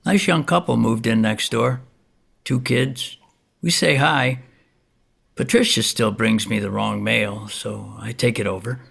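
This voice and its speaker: A wry, wistful man confides local gossip in an audio drama monologue. His voice is heavily fried and husky at a middle pitch, and he rambles and hedges as he goes. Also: a little slow, fairly bright tone, full character voice.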